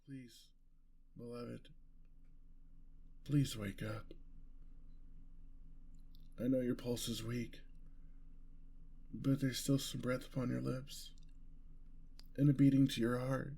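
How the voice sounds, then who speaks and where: A man acts out a gentle, romantic male vampire for a fantasy audio roleplay. He speaks slowly at a medium pitch, earnest and tender, with a slightly formal turn of phrase. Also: little pitch movement, gravelly, slightly rough, full character voice. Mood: anguished, pleading.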